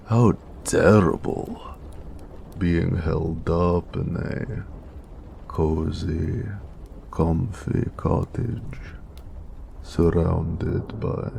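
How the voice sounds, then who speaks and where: Man playing a deep, gravelly, slow orc blacksmith. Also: little pitch movement, mellow, a little hiss or hum, full character voice. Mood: teasing sarcasm. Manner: affectionate, playfully sarcastic, unhurried. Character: gentle, teasing male orc blacksmith, doting lover. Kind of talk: romantic audio roleplay addressed to the listener.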